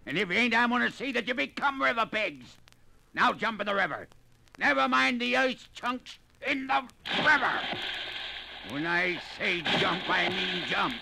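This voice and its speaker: Gravelly, bullying logging boss barking orders. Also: male voice, high voice, animated, moderate pace, bright, forceful tone, full character voice. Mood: harsh, commanding. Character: brutal, domineering boss of log drivers. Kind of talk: 1950s radio drama acting. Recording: noisy 1950s radio drama recording.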